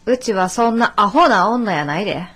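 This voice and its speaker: An actress playing a stubborn, resolute young adult daughter in a radio drama, blunt and matter-of-fact, with a Kansai accent. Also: female voice, medium pitch, animated, normal speed, fairly bright tone, husky. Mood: insistent.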